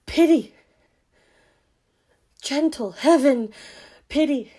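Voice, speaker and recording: A woman acts a gentle, weary king of Shakespearean radio drama. The voice is soft-toned and rather high, and it laments slowly and poetically, full of sorrow. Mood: anguished grief. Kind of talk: Shakespearean audio drama acting.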